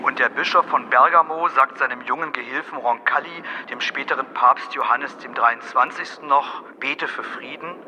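A man's voice: mid-range voice, moderate pace, hardly any pauses, pushed and bright. A man reciting scripted lines as one narrator in an audio drama. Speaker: chorus narrator. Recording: long reverb, faint outdoor street noise.

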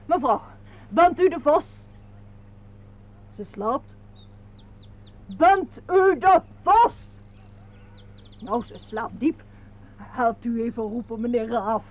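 An actress playing an excitable, fussy receptionist who chatters and exclaims theatrically in a radio play. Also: female voice, a little high, takes it slowly, pauses often. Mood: alarmed, curious. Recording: dull, noisy old radio recording.

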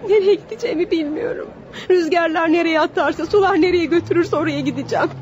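A desperate, humble young woman released from prison in an old radio melodrama. Her voice is high and tearful, pleading theatrically. The recording is dull, with a faint layer of noise. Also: fast talker, a little mellow. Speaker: radio drama actress.

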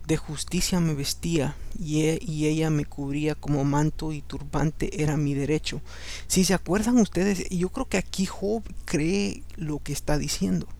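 A man's voice: on the high side, normal speed, gravelly, a little mellow. A man whose first language is Spanish, tired late at night, reading scripture aloud as podcast host with conversational asides and English words slipped in. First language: Spanish, English second. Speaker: podcast host and Bible reader.

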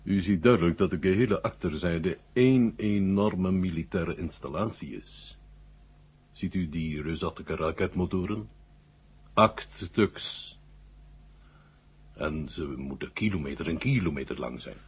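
Senior Soviet marshal: very low, husky, urbane, slyly frank; vintage radio drama, muffled sound. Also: male voice, unhurried, exaggerated voice. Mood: dryly frank. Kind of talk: 1970 Dutch radio drama acting. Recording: muffled old radio recording.

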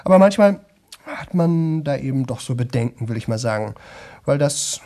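A man's voice: medium pitch, expressive, normal speed, dark tone, close to an everyday voice. An actor playing a boastful young man who talks nonstop to an audience in a radio play, chatty, rambling and repetitive. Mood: smug. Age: young adult.

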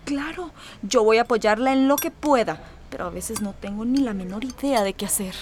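An actress playing an anxious mother worried about her daughter, with a Costa Rican accent and a soft, sighing manner, in a radio drama. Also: on the high side, moderate pace, natural voice.

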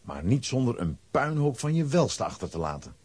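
A man narrating a children's adventure story in a scripted, dramatic style, with a husky voice. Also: mid-range voice, big pitch swings, moderate pace, a little mellow, dry recording.

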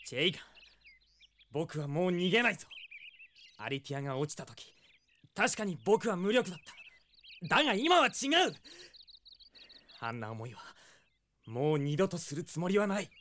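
A man's voice: on the high side, very animated, moderate pace, long pauses, fairly bright tone, slight background noise. A male actor playing an earnest, resolute young exiled prince in a Japanese drama CD. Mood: determined. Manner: resolute, heroic, impassioned.

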